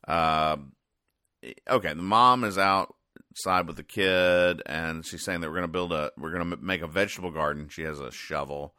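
A man with a deep voice and a bright, forward edge hosts a casual recap show. He rambles and halts in a deadpan way. The sound is close and dry, with hardly any room around it. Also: normal speed, clear, resonant tone, no echo. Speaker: podcast host. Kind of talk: casual comedic recap of newspaper comics.

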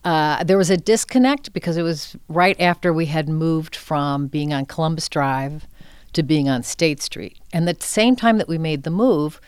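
A woman's voice: sits low, moderate pace, darkish tone, smooth, dry recording. A middle-aged woman giving conversational interview answers as an arts director, reflective and candid. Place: inside a cinema theater space.

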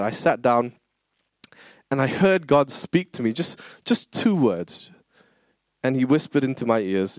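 A man in his thirties preaching a sermon, telling a personal story in an animated, earnest, conversational way. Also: mid-range voice, dry recording, clean studio sound. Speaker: pastor.

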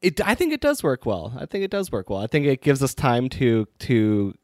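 A man with a cold talks in a casual podcast chat, his nose stuffy and his delivery rambling and self-mocking. His voice sits at an ordinary middle pitch, recorded dry and close with no room sound. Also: wildly swooping pitch, normal speed, a little mellow, no room sound. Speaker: podcast co-host.

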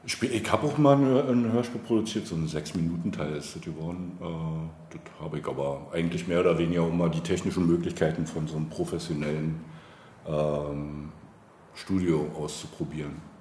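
A man's voice: rumbling low, a little fast, mellow. A man in his forties from eastern Germany answering interview questions in a reflective, winding, somewhat hesitant way. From Brandenburg, East Germany. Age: 40s. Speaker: interview guest, local politician. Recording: roomy echo, faint background noise.

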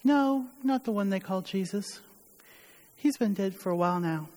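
A woman playing a grieving, ashamed widowed mother, speaking solemnly and haltingly with heavy pauses in a dramatic church monologue. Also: mid-range voice, expressive, a little mellow, natural voice. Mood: somber, resigned. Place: large reverberant church during a worship service. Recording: echoey hall with long reverb, muddied.

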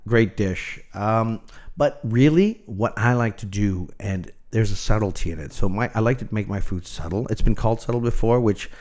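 Male, middle-aged. Lower register. Opinionated chef guest, candid, anecdotal, conversational. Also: moderate pace, hardly any pauses, a little mellow. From Canada. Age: middle-aged or older. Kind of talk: casual interview chat, anecdotal.

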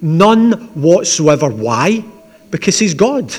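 A man with a Glaswegian accent, preaching as the pastor of a church in a conversational, gently humorous way. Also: on the high side, very animated, takes it slowly, husky. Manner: conversational, with asides and dry humour. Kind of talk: sermon with opening prayer. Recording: long church reverb.

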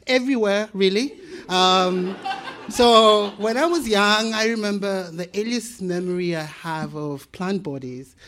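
A South African man in his thirties, a plant biologist telling a playful, animated story in a talk. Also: on the high side. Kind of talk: storytelling presentation.

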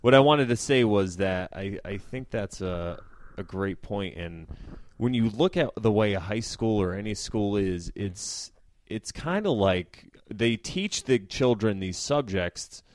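A man's voice: fairly low pitch, animated, measured pace. A man in his forties hosting a casual podcast chat, easygoing and talkative, laughing now and then. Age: 40s. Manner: easygoing, chatty, laughs often. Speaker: podcast host.

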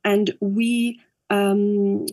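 A woman's voice: mid-range voice, level tone, extremely slow, mellow, dry recording. A middle-aged woman from Upper Austria with an Austrian German accent, a native German speaker, talking in a thoughtful, hesitant way as an interview guest. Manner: thoughtful, hesitant, many fillers and restarts. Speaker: actress and director, interview guest. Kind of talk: reflective interview talk.

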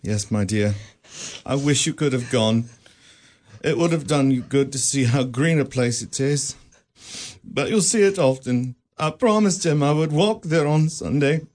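An actor playing a gentle poor clerk and grieving father in a radio drama, soft and halting. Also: mid-range voice, expressive.

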